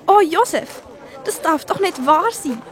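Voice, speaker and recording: A loving, anxious mother in a children's radio play. Her voice is high and expressive, with a Swiss German accent. An outdoor crowd surrounds her. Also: unhurried. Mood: distressed. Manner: emotional, expressive. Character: Maria, anxious loving young mother of Jesus. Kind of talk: children's audio drama acting.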